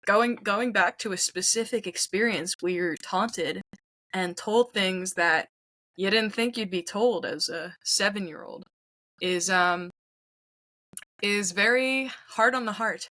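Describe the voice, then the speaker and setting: A young woman with a very bright, forward voice speaks candidly in an interview. She sounds youthful and reflective, recorded close and dry, with an average pitch for a woman. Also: slow pace, no room sound. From Quebec, Canada. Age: 20s. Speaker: guest, singer-songwriter.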